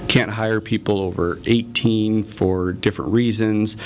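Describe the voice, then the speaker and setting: Man, 40s, low voice, friendly, lots of ums, interview guest, faint rumble. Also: fairly even intonation, a little slow, darkish tone. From United States. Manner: friendly, many ums and uhs, laughs easily. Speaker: interview guest, city council candidate. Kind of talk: casual interview conversation.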